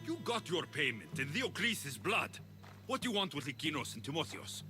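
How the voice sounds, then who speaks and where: Video game hero: stern Greek mercenary, confrontational, lively pitch movement over a prominent score. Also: male voice, normal speed, over music. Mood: defiant. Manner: blunt, confrontational. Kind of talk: dramatic video game cutscene acting.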